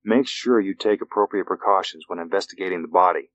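A cautious American woman FBI agent as a male narrator reads her in an audiobook. The delivery is earnest, formal and soft. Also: deep voice, fast talker, no echo, muffled recording.

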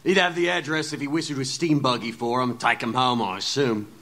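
A man's voice: mid-range voice, steady tone, a little fast, sharp tone. A man playing the slippery, theatrical owner of a shady theater in an audio drama. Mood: grudging. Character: cagey, showy owner of a disreputable theater.

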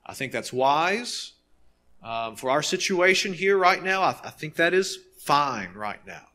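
A man in his thirties preaching a sermon as a pastor, earnest and emphatic, leaning on pauses and repeated phrases. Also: fairly high pitch, expressive, sharp tone. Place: nearly empty church sanctuary, behind a pulpit.

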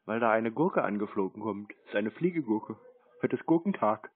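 A man on a casual prank call, answering a caller in a terse, deadpan, mocking way. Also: on the low side, moderate pace. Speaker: prank-playing scambaiter. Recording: tinny narrowband phone call.

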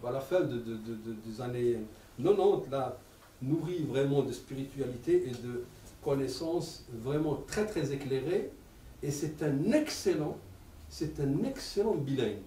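A man in his sixties with an Arabic accent, giving an animated public talk with many repeated words. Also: fairly high pitch, slight background noise. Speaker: lecturer. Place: lecture room. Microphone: table stand mic. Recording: echoey room, mic at a distance.

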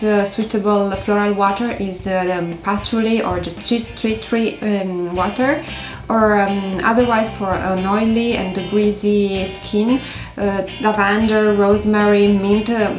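Romanian-accented woman with a gentle, hesitant delivery. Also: medium pitch, fairly even intonation, measured pace, roomy sound, over music. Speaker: beauty vlogger. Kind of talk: beauty tutorial talking to camera. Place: indoors by a stone wall.

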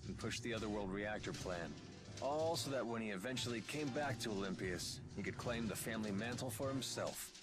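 An actor playing a wry, world-weary rogue in a video game, sardonic and laid-back. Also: male voice, lowish voice, a little fast, over music, lightly acted. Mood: matter-of-fact.

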